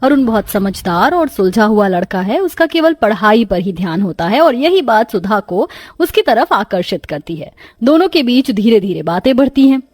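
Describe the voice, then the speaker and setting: A woman's voice: mid-range voice, big pitch swings, normal speed, few pauses, a little mellow, dry recording. A woman reading a scripted story as its narrator.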